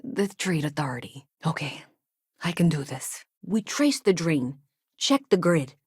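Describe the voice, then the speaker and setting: Game casting: earnest, worried female colony technician. Slightly low pitch, natural hesitant delivery, wry streak, close dry sound. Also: lively intonation, a little slow, darkish tone, breathy edge, no echo, heavily acted. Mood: uneasy, hesitant. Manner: conversational, hesitant, wry asides. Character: anxious, earnest, chatty woman engineer in sci-fi colony. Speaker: video game character. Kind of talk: naturalistic video game dialogue acting.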